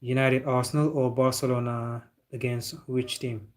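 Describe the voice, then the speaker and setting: A young man hosting a football live stream and reading out viewer comments. He chats loosely at a mid pitch, calls his viewers bro and laughs easily. He sounds relaxed and unscripted. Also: moderate pace.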